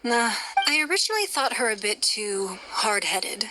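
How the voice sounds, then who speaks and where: A woman voicing a poised, shrewd and elegant noblewoman in power in an anime video game, with composed, measured, formal delivery. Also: on the high side, big pitch swings, pushed and bright, slight background noise, rough recording. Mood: composed, resolute.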